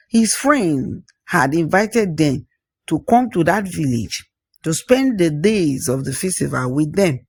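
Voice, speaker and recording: Folktale narrator, expressive delivery with interjections, gravelly edge, close dry sound. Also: medium pitch, no room sound. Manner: expressive, with interjections and repeated phrases. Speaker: storyteller. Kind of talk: spoken folktale narration with acted dialogue.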